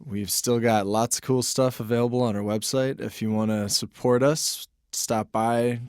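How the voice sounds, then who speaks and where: A man's voice: mid-range voice, fairly even intonation, normal speed, darkish tone, clean tone, dry recording, clean studio sound. A laid-back, wry man hosting a podcast, chatting casually through promos. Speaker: podcast host and author.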